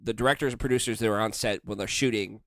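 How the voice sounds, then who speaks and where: A man's voice: on the low side, fairly even intonation, fast, slight background noise. A man co-hosting a casual podcast, rambling, swearing freely and hedging and restarting as he explains things. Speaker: podcast co-host. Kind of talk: casual chat.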